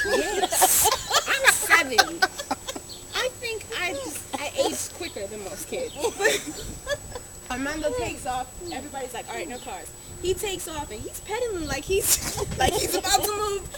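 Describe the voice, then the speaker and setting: A woman telling a comic anecdote casually to friends, animated and giggly, acting out the dialogue. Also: very high-pitched. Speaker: amateur storyteller. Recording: very noisy amateur room recording.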